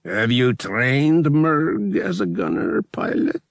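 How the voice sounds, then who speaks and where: Picture an imperious alien high priest from an audiobook space adventure, acted out by a man. He speaks slowly and formally, stretching his phrases with commanding deliberation. His pitch swells and swoops well above a plain narrating voice. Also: big pitch swings, gravelly, no echo, exaggerated voice. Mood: stern. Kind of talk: audiobook character voice.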